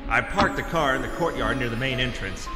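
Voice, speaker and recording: Guy doing a pompous pulp hero narrating, big echoey reverb, loud music under him. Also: mid-range voice, brisk, close to an everyday voice. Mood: ominous, pompous. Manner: pompous, deadpan, melodramatic. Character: self-important pulp adventurer hero narrating his tale. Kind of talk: pulp radio-serial narration parody.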